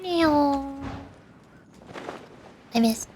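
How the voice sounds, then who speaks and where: A woman's voice: high-pitched, extremely slow, a little mellow, a little hiss or hum. A woman streamer chatting playfully to herself while playing a game. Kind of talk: casual game commentary.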